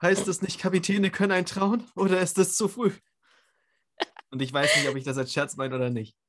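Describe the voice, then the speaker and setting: A man playing a tabletop role-playing game over a video call, describing his character's actions in a casual, halting way. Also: sits high, fairly even intonation, fairly bright tone. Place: room at home, online video call.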